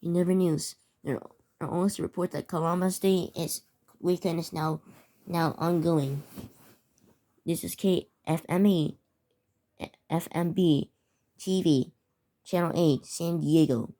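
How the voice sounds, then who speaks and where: Mellow, low-voiced woman reading halting news bulletins. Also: steady tone. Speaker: narrator of a fictional emergency alert scenario. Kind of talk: amateur scripted news-bulletin narration.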